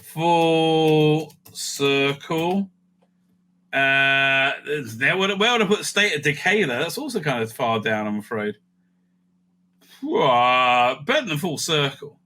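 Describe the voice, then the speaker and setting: A man's voice: fairly high pitch, expressive, slow, pushed and bright. A man hosting a casual, off-the-cuff ranking video, rambling and interrupting himself as he thinks aloud. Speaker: video host ranking TV stories.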